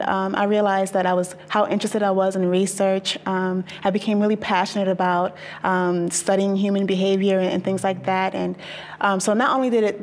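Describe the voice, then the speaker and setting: A young woman from Maryland, a graduate student, speaking earnestly and enthusiastically with thoughtful pauses. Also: mid-range voice, darkish tone. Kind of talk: casual group interview.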